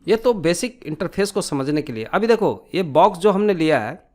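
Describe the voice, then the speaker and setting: A man with a fairly high-pitched voice narrates an informal screen tutorial. He explains each step as an instructor would, often adding small tag questions to check that the learner follows. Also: sing-song, normal speed, a little mellow.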